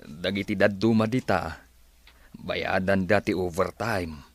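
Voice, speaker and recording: A man plays a poor farm labourer in an audio drama, weary and resigned to his lot. He speaks low and deep with an Ilocano accent. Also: a little slow, no room sound. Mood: aggrieved. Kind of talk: Ilocano radio-style drama acting.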